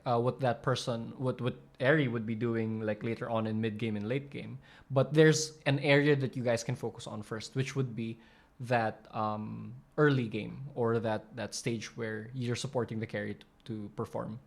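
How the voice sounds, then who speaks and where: A man talking through a clip in a loose, unscripted way, stopping and restarting mid-sentence, filling gaps with "um" and "like". his pitch is middling, neither high nor low. he sounds like he is thinking out loud as he goes. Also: vocal fry. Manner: rambling, many fillers and restarts. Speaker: channel host explaining coaching. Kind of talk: casual commentary over a video.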